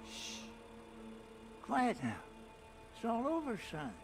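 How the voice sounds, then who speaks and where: Slow, high-voiced elderly crime boss. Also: male voice, creaky, music in the background, full character voice. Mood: quietly menacing. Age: older. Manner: calm, unhurried, soothing menace. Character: elderly, softly menacing male crime boss. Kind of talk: video game cutscene acting.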